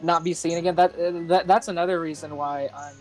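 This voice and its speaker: A man playing a tabletop game, talking casually, halting and repeating himself as he deliberates. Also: fairly high pitch, fairly even intonation, rough recording. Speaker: tabletop roleplaying player. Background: music.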